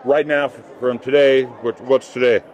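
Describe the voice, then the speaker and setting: A man's voice: mid-range voice, steady tone, normal speed, darkish tone. A man talking casually as a company representative explaining a product at a trade booth. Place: trade show booth with fabric backdrop.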